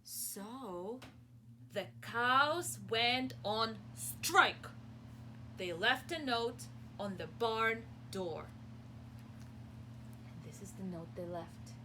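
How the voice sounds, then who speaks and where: A woman with a bright, fairly high voice reads a picture book to small children as their teacher. She takes her time, swinging widely in pitch for drama, and pauses to put questions to her young listeners with plenty of encouragement. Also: lively intonation, slight background noise, rough recording. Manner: slow, deliberate and dramatic, stretching words, pausing to ask questions; encouraging, praising and addressing listeners as friends. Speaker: preschool teacher reading aloud.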